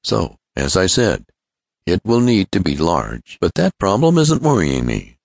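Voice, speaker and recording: A man's voice: low voice, sing-song, measured pace, creaky, no room sound, studio quality, pitched above the performer's own voice, full character voice. A man voicing an earnest, confident young male inventor in an adventure storybook, explaining things keenly. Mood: explaining, assured. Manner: explanatory, keen.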